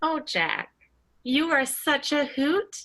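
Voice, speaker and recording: A woman's voice: high-pitched, lively intonation, sharp tone, full character voice. A woman playing a politely hesitant, arch lady star in an improvised comic radio play. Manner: halting, tactful, coy.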